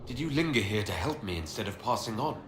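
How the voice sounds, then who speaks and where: A man playing an earnest heroic leader in a fantasy video game, with an expressive way of speaking. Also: lowish voice, fast, close to an everyday voice. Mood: hushed realization. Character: earnest, exasperated male hero and leader. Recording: echoey, loud music behind.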